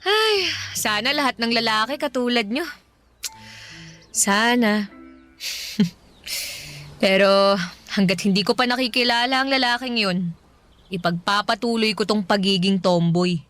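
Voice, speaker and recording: A woman's voice: fairly high pitch, measured pace, long pauses, fairly bright tone, faint music behind. A woman playing a heartbroken, stubborn young tomboy daughter in a radio drama, talking casually and expressively. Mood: wistful, teasing. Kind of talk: Tagalog radio drama dialogue.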